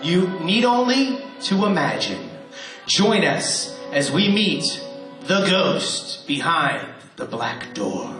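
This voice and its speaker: A man's voice: a little high, very animated, takes it slowly. A man hosting a live radio show in front of a studio audience, rousing the crowd. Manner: upbeat, crowd-rousing announcer. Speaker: emcee host. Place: theater stage with live audience. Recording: roomy hall with music.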